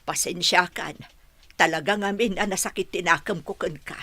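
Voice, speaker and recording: A woman playing a regretful, wounded daughter in a radio drama, with a husky voice. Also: lowish voice, normal speed, sharp tone. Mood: sorrowful, reproachful. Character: regretful, wounded daughter confronting her father. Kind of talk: Ilocano radio drama acting.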